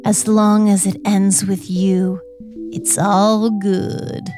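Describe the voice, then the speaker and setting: A woman playing a needy, besotted lover in a theatrical dramatic reading, with a yearning, drawn-out delivery. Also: mid-range voice, little pitch movement, lightly acted. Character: besotted, needy woman sending secret love texts. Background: music.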